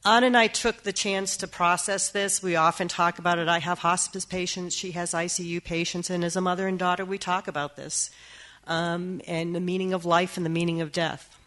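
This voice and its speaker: A woman's voice: lowish voice, fairly even intonation, a little fast, fairly bright tone. A middle-aged woman with a scratchy voice from a cold gives an earnest personal talk, with frequent ums. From Massachusetts. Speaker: hospice worker giving a talk.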